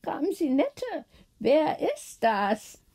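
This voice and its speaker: A curious woman from a storybook as a female reader puts her on. Her voice sits high, full of wonder and questions, as if she is easily astonished. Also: big pitch swings, drawn-out words, mellow, heavily acted. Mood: puzzled.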